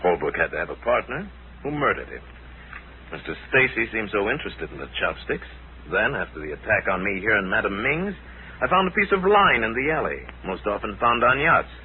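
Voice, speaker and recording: Composed radio detective, old thin recording. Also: male voice, fairly low pitch, big pitch swings, phone-quality sound, slight background noise. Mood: explaining. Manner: measured, courteous, explanatory. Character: calm, knowing crime-fighting lama detective, adult man. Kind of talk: 1940s radio drama acting.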